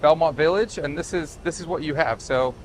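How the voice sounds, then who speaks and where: A man from Chile with a voice a little on the high side talks like a developer showing off a property. He is emphatic and casual, doubling back on his words. Clear city and vehicle noise surrounds him. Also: fairly quick. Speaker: property developer giving a tour. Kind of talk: casual walk-through sales pitch. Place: walking outdoors on a city street and at a building site. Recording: outdoor street noise with traffic.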